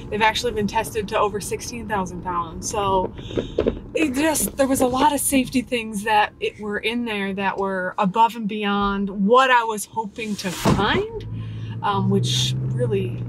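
A woman's voice: on the high side, noisy background. An American woman co-hosting a casual travel vlog, talking in an enthusiastic, explanatory way. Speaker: vlog co-host.

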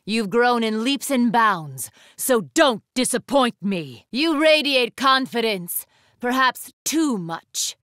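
A woman's voice: a little high, expressive, slow pace, fairly bright tone, dry recording, clean studio sound. An imperious, fierce young warrior woman. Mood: fierce, defiant. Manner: commanding, haughty, formal. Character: imperious young female warrior student leader, proud, fierce. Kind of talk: anime fighting game acting.

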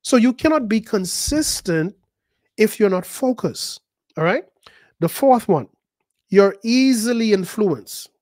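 A man preaching a sermon, his fairly high voice leaping higher and louder when he drives a point home. He repeats words as he builds and acts out the voices of the people he describes. The sound is dry and close. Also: lively intonation, slow pace, no room sound. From the Bahamas. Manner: emphatic, rising to high pitch and volume at key points. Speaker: pastor.

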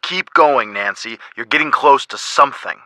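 Calm, sensible big-brother detective, bright voice, dry wit. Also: male voice, mid-range voice, moderate pace, no echo. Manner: dry, level-headed, deadpan teasing.

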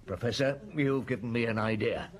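An actor playing a seasoned, methodical police detective in a radio drama, speaking in a measured, musing way. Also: male voice, mid-range voice, close to an everyday voice. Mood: pensive, probing.

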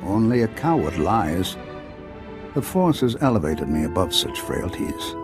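A proud, transcendent Jedi master in a video game. His low voice moves widely in pitch as he speaks with formal, measured calm. Music plays clearly behind him. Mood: serene, resolute.

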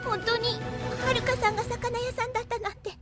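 A woman's voice: very high-pitched, flat delivery, a little fast, recorded from a distance, loud music behind the voice. An actress playing a bubbly, earnest, naive teenage schoolgirl, girlish and exclamatory, in an anime audio drama. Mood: earnest, awkward.

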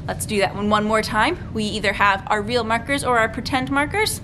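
A young woman hosting a storytime, reading aloud to children in an expressive, sing-song way. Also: a little fast. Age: young adult. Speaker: children's librarian, storytime host. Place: library room with bookshelves. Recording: clearly noisy room recording, low rumble.

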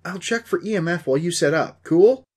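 A man voicing an excitable, pouty young male ghost hunter who blurts things out and is easily spooked, in an audio story. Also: high-pitched, brisk, breathy edge, voice pushed up. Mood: eager.

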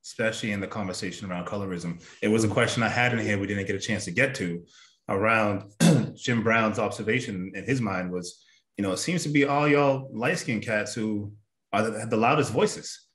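A man moderating a panel on a video call, soft-spoken and clearing his throat now and then. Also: on the low side, moderate pace, echoey room. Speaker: discussion moderator. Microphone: webcam on video call.

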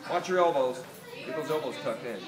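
A man's voice: mid-range voice, brisk, crowd noise, pitched below the performer's own voice, lightly acted. A man reading a storybook, voicing a brusque, impatient workman who gives curt orders. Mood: impatient, bossy. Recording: roomy, distant, noisy phone-camera recording.

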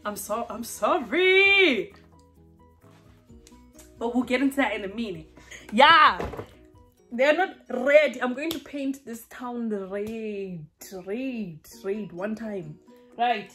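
Brief: young woman, fashion haul vlogger, bubbly and chatty, slightly high voice, faint music behind. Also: slow, long pauses. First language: not English. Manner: animated, chatty, laughs often, addresses viewers as guys. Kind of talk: casual haul try-on vlog. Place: home living room, indoors.

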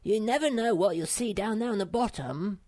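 A woman voicing a small, timid and bossy male creature in a storybook reading, squeaky and fretful. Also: moderate pace, darkish tone, natural voice.

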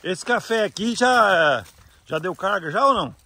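A young man chatting with farmers outdoors, asking quick, upbeat questions while filming on a phone at arm's length. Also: high voice, animated, sharp tone, breathy edge. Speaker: vlogger interviewing farmers. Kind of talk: casual rural interview. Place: outdoors on a hillside farm. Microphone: phone held at arm's length.

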